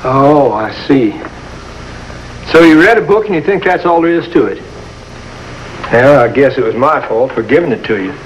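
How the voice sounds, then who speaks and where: An actor playing a gruff but kindly small-town American doctor, brusque and folksy like an uncle, in a 1950s television drama. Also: male voice, medium pitch, animated, moderate pace, pauses often, lightly acted. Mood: skeptical, discouraging. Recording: noisy old 1950s television soundtrack.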